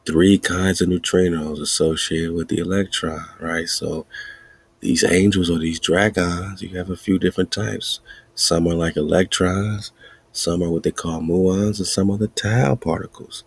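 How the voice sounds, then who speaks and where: A man hosting a podcast, riffing excitedly in casual commentary with wordplay and frequent laughter. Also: deep voice, a little slow, breathy edge. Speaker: podcast host.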